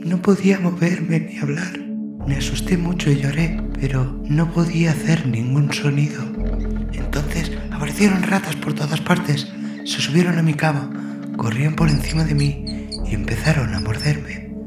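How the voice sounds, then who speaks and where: A small, terrified girl performed by a man recounts horrors in an audio story. The voice is pushed well above the performer's own, fearful and pleading. Loud music and rain surround it. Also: fairly high pitch, moderate pace, roomy sound, voice pushed up, lightly acted. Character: frightened little girl recounting a nightmarish attack.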